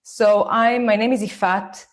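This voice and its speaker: A woman in her forties from Israel with an Israeli accent, speaking slowly and carefully as she gives a live online talk to parents. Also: mid-range voice, fairly even intonation. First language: Hebrew. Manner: slow, deliberate, and careful for her listeners. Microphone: phone.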